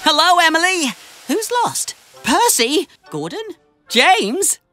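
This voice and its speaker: A cheerful young boy engine from a kids' cartoon. The voice is high and bright, bouncing widely in pitch. It is polite and always keen to help, with music behind it. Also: very animated, slow pace, full character voice. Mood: concerned. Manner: exclamatory, helpful, polite. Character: cheerful, eager young male tank engine. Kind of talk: children's animated series acting.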